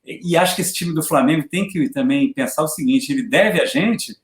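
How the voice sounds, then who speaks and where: A man hosting a casual live chat about football, easygoing and quick, laughing often. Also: on the high side, echoey room. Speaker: host. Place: home, live video stream.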